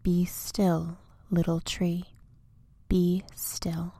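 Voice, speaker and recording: A woman voicing a calm, wise old male tree in a children's storybook, speaking low and soothing. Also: slow, no echo. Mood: hushed.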